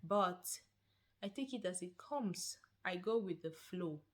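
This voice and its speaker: A Nigerian woman in her thirties talks straight to camera with a somewhat low voice. She is animated and encouraging, and she repeats her points for emphasis in a casual vlog manner. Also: slow pace. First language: not Swedish. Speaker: vlogger.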